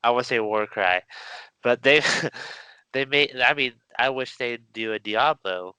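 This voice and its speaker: Bright, crisp-voiced man, halting speech. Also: medium pitch, no room sound, low-quality recording. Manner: halting, searches for words, laughs easily. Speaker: podcast co-host. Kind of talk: casual chat.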